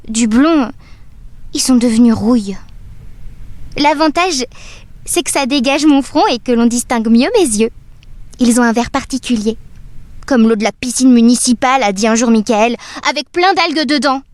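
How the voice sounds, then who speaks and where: An actress playing a dreamy, wistful doll who tells her own story in measured, poetic narration for an audio drama. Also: a little high, darkish tone, no room sound, lightly acted. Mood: wry, matter-of-fact. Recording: music under the voice. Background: music.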